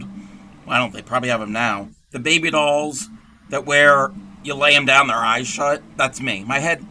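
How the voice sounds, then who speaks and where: A man with a hoarse, scratchy voice who talks through a crochet tutorial in a rambling, chatty way and clears his throat often. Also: mid-range voice, bright, forceful tone. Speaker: crochet livestream host.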